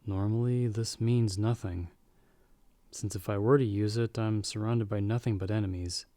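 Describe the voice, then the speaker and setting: A man playing a calm, world-weary half-vampire hunter in an audio roleplay, speaking in a measured, understated way as a protective mentor to a companion. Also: on the low side, dry recording, lightly acted. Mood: reflective. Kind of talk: ASMR audio roleplay acting.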